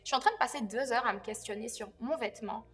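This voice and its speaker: A woman's voice: a little high, fast talker, a bit bright. A woman talking casually as a panel guest, explaining things through personal anecdotes. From abroad, came to Quebec as an immigrant. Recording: reverberant room, music underneath.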